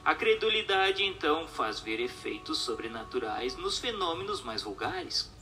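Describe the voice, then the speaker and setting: A man's voice: on the high side, very animated, moderate pace, pushed and bright, slight background noise. A man narrating a scripted, dramatized book reading in a formal, declamatory way, posing questions and reading out commentary.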